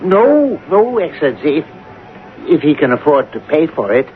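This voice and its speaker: A man's voice: on the high side, very animated, normal speed. An actor playing a tactful, deferential male office subordinate in a radio drama, speaking carefully and hesitantly. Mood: cautious, apologetic. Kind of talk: 1970s radio drama acting. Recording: tinny, noisy old radio recording.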